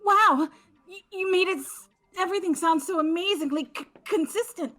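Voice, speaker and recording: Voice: very high voice, normal speed, pushed and bright, soft background music, pitched above the performer's own voice. A woman putting on the voice of a timid, nervous young woman who stammers.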